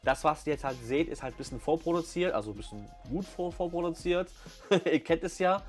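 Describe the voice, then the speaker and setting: Higher-voiced man vlogging over music. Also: expressive, fairly quick. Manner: enthusiastic slang-filled chat. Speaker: vlog host. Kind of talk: casual vlog commentary. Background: background music.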